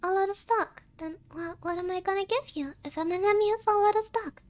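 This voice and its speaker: A woman's voice: very high voice, a little fast. A woman with a girlish, cutesy voice hosting a playful ASMR horror roleplay, mixing Japanese words into her English. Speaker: VTuber host of an ASMR horror-story audio. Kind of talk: playful scripted ASMR roleplay with casual chat.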